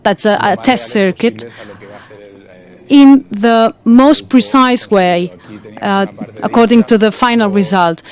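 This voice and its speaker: A speaker wrapping up a conference presentation in English, deliberate and hesitant with frequent fillers. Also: medium pitch.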